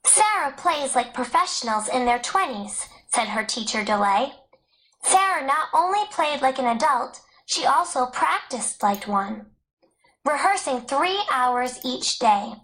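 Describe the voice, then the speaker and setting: A woman's voice: sits high, expressive, measured pace, sharp tone, low-quality recording. A woman reading a scripted educational text aloud as a narrator. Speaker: audiobook narrator.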